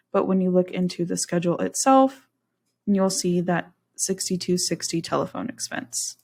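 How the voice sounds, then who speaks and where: A woman narrates a software demonstration in an instructional, step-by-step way. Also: medium pitch. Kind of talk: screen-share software tutorial narration.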